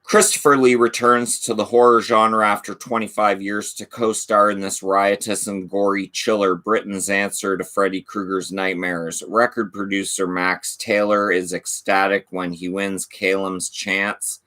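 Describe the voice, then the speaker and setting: A man hosting a casual video channel, reading DVD back covers aloud and chatting about his collection. He says he is losing his voice, and his asides are low-key and offhand. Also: little pitch movement, a little slow, few pauses, sharp tone. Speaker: channel host.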